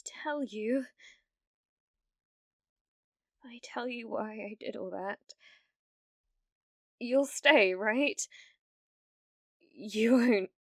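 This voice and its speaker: A British woman playing an obsessive, needy young female streamer in an ASMR roleplay, pleading and stammering, swinging between breathy whispers and outbursts. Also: mid-range voice, no room sound, studio quality. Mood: anxious, pleading. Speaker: ASMR voice actress.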